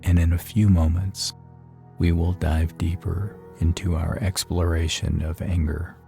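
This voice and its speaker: A man guides a meditation in a very low, dark and relaxed voice over faint music. His delivery is soothing and even, unhurried, with long pauses left between phrases. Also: vocal fry. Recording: soft music behind the voice.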